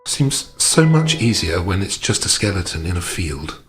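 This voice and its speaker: A man taking part in a tabletop roleplaying game as a player, asking and describing things in a deliberate, methodical way. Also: sits low, very animated, music in the background.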